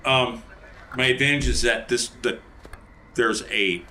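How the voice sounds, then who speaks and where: A man playing a tabletop game, chatting casually in a playful, animated way. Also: mid-range voice, measured pace, slight background noise.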